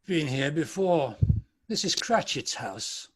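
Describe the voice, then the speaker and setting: A man acts out an old British miser in a dramatic reading. The voice sits high for a man. He is anguished and repentant, with a theatrical, pleading delivery. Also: very animated, takes it slowly, a bit bright, husky, full character voice. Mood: troubled. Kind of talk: dramatic table read.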